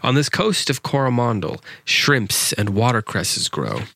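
Male reader plays tiny lovelorn storybook oddball, voice lifted high, earnest sing-song pleading, dry close sound. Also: very animated, measured pace, creaky, pushed and bright, no echo, full character voice. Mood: earnest wooing. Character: tiny big-headed nonsense creature, lovelorn, earnest, weary suitor. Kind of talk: storybook narrative poem reading.

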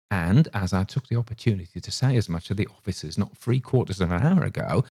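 A man's voice: lowish voice, mellow, husky, dry recording, clean studio sound. A man voicing a pompous, long-winded male innkeeper who talks in tangled, ungrammatical phrases. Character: pompous garrulous male innkeeper, middle-aged.

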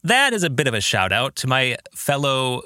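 Male podcast host, reflective commentary, highly varied pitch, dry close sound. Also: big pitch swings, normal speed, pushed and bright, clean tone, dry recording.

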